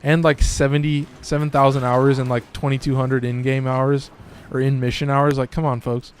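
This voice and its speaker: Man, gaming streamer, a little hoarse and raspy, mid-pitched voice, loose profane chat, faint noise underneath. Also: level tone, moderate pace. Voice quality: slightly raspy. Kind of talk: casual gameplay commentary.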